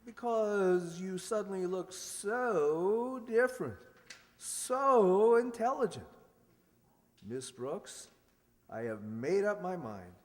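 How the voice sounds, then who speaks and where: A man performs a pompous male school principal in radio sitcom style, blustering and theatrical. His voice runs on the high side and echoes through a long hall reverb. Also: sing-song, takes it slowly, heavily acted. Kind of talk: old-time radio sitcom acting.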